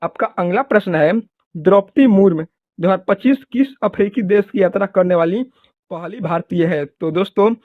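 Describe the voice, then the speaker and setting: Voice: medium pitch, normal speed, no room sound. A quiz presenter reading multiple-choice exam questions and answers in a formulaic, repetitive way. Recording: muffled phone-like audio.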